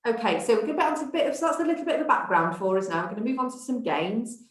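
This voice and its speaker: A woman's voice: a little high, very animated, rapid-fire. A woman presenting a training webinar, talking in a reassuring, encouraging way. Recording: echoey room.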